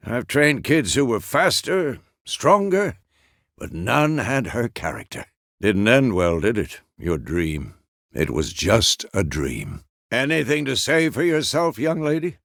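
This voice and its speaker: An actor playing a gruff, stern but fatherly old monster hunter in a video game, with a gravelly voice and a terse, weary, commanding manner. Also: medium pitch, fairly bright tone, no room sound, clean studio sound, exaggerated voice. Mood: stern, grumbling. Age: older. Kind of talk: dramatic game character dialogue.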